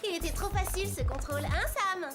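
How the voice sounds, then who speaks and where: A woman's voice: very high voice, fairly quick, over loud music. A woman voicing a vain, bubbly teenage girl spy in a cartoon dub, perky and boastful. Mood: smug, teasing. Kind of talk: animated cartoon dub acting.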